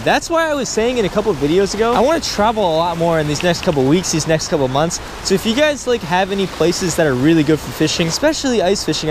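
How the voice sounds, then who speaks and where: Young man, excitable vlogger, action camera outdoors, lively up-and-down voice, loud wind noise. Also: on the high side. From Delaware, US. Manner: excitable, chatty, lots of "man" and "dude". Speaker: fishing vlogger. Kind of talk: casual vlog narration. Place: outdoors by a windy spillway, also a store and a parked car. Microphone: action camera, handheld or chest-mounted.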